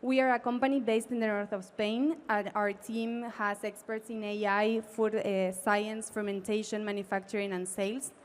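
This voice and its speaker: A woman giving a prepared business pitch as a startup presenter, with some background noise. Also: level tone, moderate pace, few pauses.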